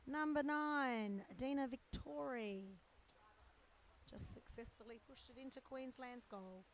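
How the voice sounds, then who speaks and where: A middle-aged woman with a croaky, strained voice gives casual live sports commentary from poolside. She talks in a chatty, unhurried way, with fillers as she thinks aloud. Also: sits high, slight background noise, low-quality recording. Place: poolside at an indoor or covered swimming pool.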